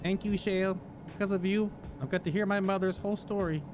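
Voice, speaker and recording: A man's voice: high-pitched, fairly even intonation, a little fast, background noise, voice pushed up, natural voice. A man voicing a brave, grateful young orphan boy while reading game dialogue aloud. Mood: earnest, grateful. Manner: earnest, lighter voice. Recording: game music behind.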